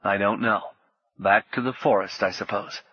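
A man's voice: on the low side, steady tone, normal speed, breathy edge, highs cut off. A man voicing a bewildered young man on the run, speaking plainly and uncertainly.

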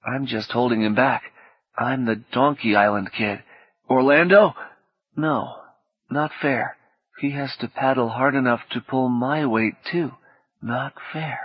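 A man's voice: mid-range voice, normal speed, slightly rough, dry recording, natural voice. A man voicing a sick, exhausted teenage boy who talks wearily and with resignation. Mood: despairing. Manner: weary, flat, resigned. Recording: dull lo-fi cassette audio.

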